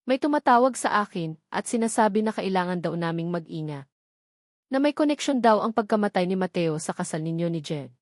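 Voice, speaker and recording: A woman's voice: on the low side, lively intonation, a little fast, dry recording, studio quality, close to an everyday voice. A woman narrator playing a frightened older mother who confides fearfully in an audio story. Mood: afraid.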